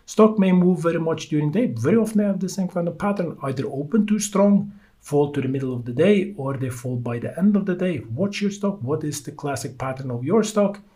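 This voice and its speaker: A man from Norway with a Norwegian accent, speaking English as a second language while hosting a solo stock-market podcast in a halting way full of fillers. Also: fairly high pitch, lively intonation, mellow. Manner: halting, many uh fillers, loose non-native phrasing. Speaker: podcast host.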